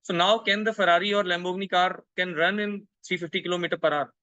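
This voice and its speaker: A man's voice: high voice, fairly even intonation, quick pace, pushed and bright, no room sound, muffled recording. A man from India giving a guest lecture over a video call, explaining at length and often repeating words as he looks for the next one. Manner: explanatory, repeats words while searching for them. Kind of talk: online lecture.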